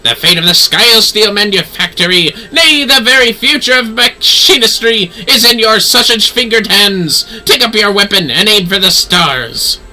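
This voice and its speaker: A man voicing a flamboyant, grandiose aristocrat in a video game, with florid, drawn-out exclamations. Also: sits high, sharp tone, soft background music, pitched above the performer's own voice, poor audio.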